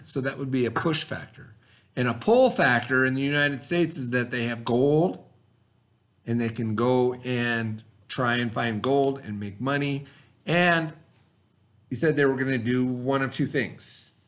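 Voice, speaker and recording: Male teacher reading a lesson aloud. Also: mid-range voice. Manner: instructional, pausing to explain and ask questions. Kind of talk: read-aloud lesson with explanatory asides.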